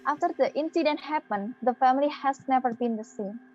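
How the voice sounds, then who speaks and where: Young woman narrator for scripted horror drama: high voice, dull recording with faint noise. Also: a little fast. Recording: muffled, noisy home-style audio.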